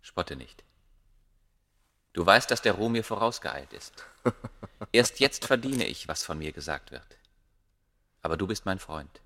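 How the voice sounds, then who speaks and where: An actor playing a proud, obsessive ancient scholar, speaking gravely and declaiming in a German radio play. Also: male voice, low-pitched, lively intonation, fairly bright tone, full character voice. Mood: confiding, proud. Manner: grave, declamatory, deliberate phrasing.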